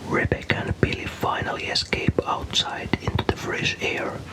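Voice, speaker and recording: A man from Finland narrates a story in a soft, breathy, unvoiced ASMR whisper, calm, careful and unhurried.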